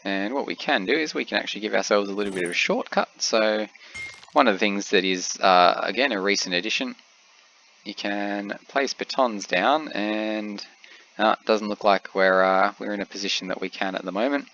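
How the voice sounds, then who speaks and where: Congested man with a gravelly low voice. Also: lo-fi sound. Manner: relaxed, explains as he plays, frequent "uh" pauses. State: has a cold, slightly congested. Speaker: gameplay commentator. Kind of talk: casual game commentary.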